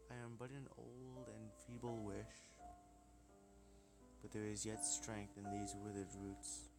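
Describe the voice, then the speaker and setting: A man voicing an old, feeble flower spirit from a visual novel, speaking in slow, theatrical riddles. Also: lowish voice, flat delivery, creaky. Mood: solemn. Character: old feeble talking flower wish, cryptic and ominous. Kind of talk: visual novel character reading. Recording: music playing behind the voice.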